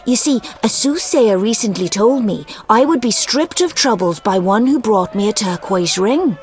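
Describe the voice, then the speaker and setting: A woman voicing a superstitious, expressive quest-giver in a fantasy video game, speaking in a mannered way. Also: mid-range voice, normal speed, close to an everyday voice. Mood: pleased bargaining.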